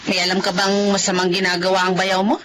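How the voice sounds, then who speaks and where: An actress playing an elderly mother and grandmother in an audio drama, chatty and quick to exclaim. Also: mid-range voice, monotone, a bit bright, slight background noise, natural voice. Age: older. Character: caring elderly mother and grandmother, fussy but warm. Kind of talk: Filipino radio-style audio drama.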